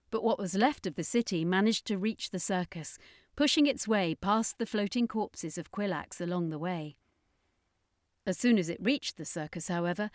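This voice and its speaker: A woman's voice: medium pitch, dry recording, studio quality. A woman reading an adventure story as narrator, in a dramatic scripted storytelling style.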